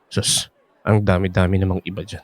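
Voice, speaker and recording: A man's voice: low voice, flat delivery, moderate pace, dry recording, natural voice. An actor playing a flirtatious, teasing man of sixty-five with a Filipino accent in a radio drama. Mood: teasing, boastful. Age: 60s. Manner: teasing, cajoling, exaggerated swooping delivery.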